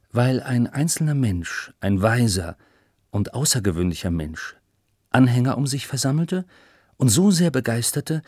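Male narrator, children's educational audiobook. Husky grain, measured storytelling, close dry studio sound. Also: fairly low pitch, a little mellow, dry recording. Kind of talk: scripted explanatory narration for children.

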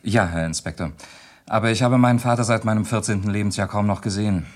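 A man playing a polite, composed, estranged son of a dead man in a radio play, speaking in a measured way. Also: on the low side, fairly even intonation, natural voice. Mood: composed, sober. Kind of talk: German radio play acting, dramatic dialogue.

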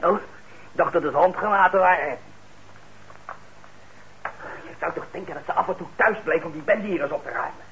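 A man's voice: fairly high pitch, normal speed, long pauses, fairly bright tone, close to an everyday voice. Casting: male actor for broke young brother, dry and grumbling, natural radio drama delivery, dulled sound with heavy noise. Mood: sardonic, grumbling. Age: young adult. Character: broke, grumbling working-class young man, sardonic brother. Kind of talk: naturalistic radio play acting in Dutch. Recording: noisy, muffled old radio drama recording.

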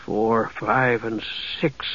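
A man reading an audiobook, voicing an awed harbor watchman who speaks in a hush. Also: fairly low pitch, level tone, takes it slowly, no echo, pitched below the performer's own voice. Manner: hushed, counting. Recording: dull lo-fi dry recording.

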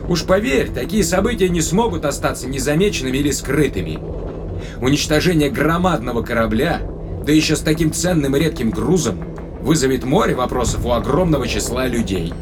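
A man's voice: mid-range voice, animated, a little fast, noisy background, lightly acted. A man playing a skeptical, wary space traveler in an audio drama, dryly sardonic and questioning, with music behind him. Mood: skeptical, insistent.

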